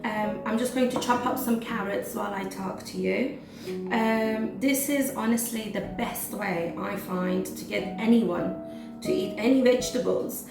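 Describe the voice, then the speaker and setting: A woman running her cooking vlog, a little hoarse, chatting casually with lots of "um" fillers as she demonstrates a recipe at home. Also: medium pitch, measured pace. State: slightly hoarse from losing her voice. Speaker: cooking vlogger. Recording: echoey kitchen, mic at a distance, background music.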